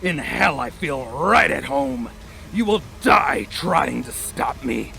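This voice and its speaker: A man voicing a vengeful demonic villain in a horror monologue, gravelly, slow and menacing as he gloats. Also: mid-range voice, expressive, bright, forceful tone, full character voice. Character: vengeful demonic villain who thrives on chaos.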